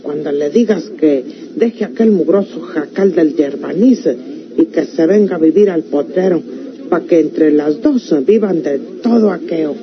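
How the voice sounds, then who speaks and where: A woman's voice: low voice, measured pace. Through a noisy, dull old radio recording comes a resolute country woman with a rural Mexican accent. She talks in a plain, earnest, rustic way, as in a classic radio serial. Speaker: actress in a radio drama. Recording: muffled old radio recording, clear noise.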